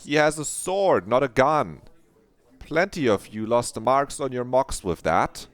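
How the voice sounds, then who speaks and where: A man's voice: medium pitch, expressive, measured pace, dry recording, pitched above the performer's own voice, lightly acted. A man voicing a strict female schoolteacher who scolds her class in clipped lines. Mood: stern.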